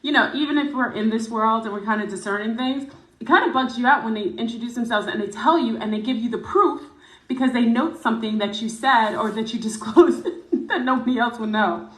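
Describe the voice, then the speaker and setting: A woman's voice: a little high, fairly quick, a bit bright, reverberant, rough recording. A woman whose first language is French, telling a personal story hesitantly, with many fillers and restarts. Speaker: guest in a played clip. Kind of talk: casual storytelling.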